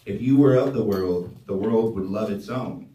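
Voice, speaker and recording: Low-voiced man preaching in a roomy church. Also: warm tone, slight background noise. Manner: measured, emphatic scripture reading. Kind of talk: church service reading and preaching. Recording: roomy sound from a distance.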